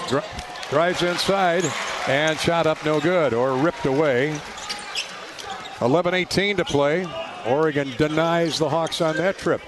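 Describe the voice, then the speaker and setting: Clear arena noise and bouncing balls surround a fairly high-pitched male announcer. He calls live basketball for radio in short, running phrases, lifting toward a shout on big plays. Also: unhurried. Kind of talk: live basketball play-by-play commentary. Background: loud court noise, bouncing basketball.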